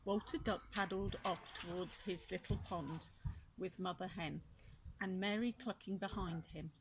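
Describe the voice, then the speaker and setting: An older woman reading a children's story aloud, expressively, with gently chatty asides to young listeners. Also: lowish voice, steady tone, unhurried. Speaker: grandmother reading a children's book. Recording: clear background noise and clicks.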